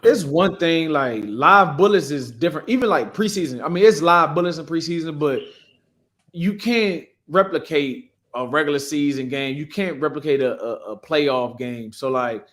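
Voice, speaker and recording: Give a young American man with a slightly high-pitched voice. He talks sports casually, with slang and loose swearing, like a podcast co-host bantering with friends. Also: animated, normal speed, fairly bright tone. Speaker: podcast co-host, pro football player.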